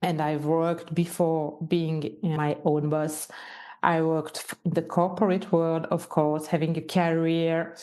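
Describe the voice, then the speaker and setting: A woman's voice: sits low, flat delivery, slow, dark tone. A woman with a Swiss French accent, a native French speaker, talking as a podcast guest in a hesitant way, restarting often. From Switzerland. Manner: hesitant, frequent restarts, non-native phrasing. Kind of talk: casual interview conversation.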